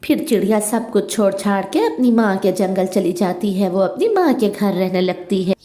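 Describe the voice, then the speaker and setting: A woman's voice: medium pitch, expressive, normal speed, mellow. A woman narrating a scripted children's story as its narrator, with a roomy echo.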